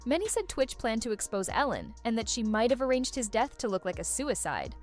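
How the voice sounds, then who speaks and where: A woman's voice: on the high side, very animated, a little fast, faint music behind. A woman reading scripted gossip-video narration in a dramatic, suspense-building way.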